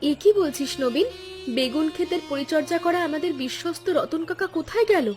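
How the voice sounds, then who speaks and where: A woman plays a young village wife in a cartoon tale, caring and a little anxious. Her voice sits very high, and she speaks each line earnestly. Soft music runs underneath. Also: brisk, natural voice. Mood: concerned. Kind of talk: Bengali cartoon story dialogue.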